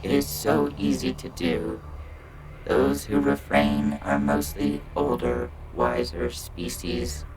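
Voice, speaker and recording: A man's voice: mid-range voice, big pitch swings, creaky, voice pushed up, full character voice, low-quality recording. A man voicing a petulant, moralizing alien star probe in a science fiction story, with a weary, admonishing manner. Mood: morose. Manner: slow, earnest, admonishing delivery. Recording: prominent music over the voice. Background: loud music.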